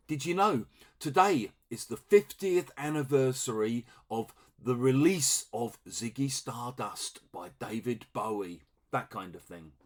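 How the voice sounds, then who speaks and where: Slow, animated teacher, man. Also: medium pitch. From England. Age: middle-aged. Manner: relaxed, conversational, acts out example dialogues. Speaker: English teacher. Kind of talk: explaining vocabulary to learners.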